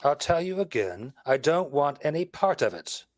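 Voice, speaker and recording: Man playing wry, laconic gentleman detective. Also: a little high, sing-song, moderate pace, no room sound. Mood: dry, firm. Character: dry, wisecracking, reluctant gentleman detective husband. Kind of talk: 1930s radio drama acting.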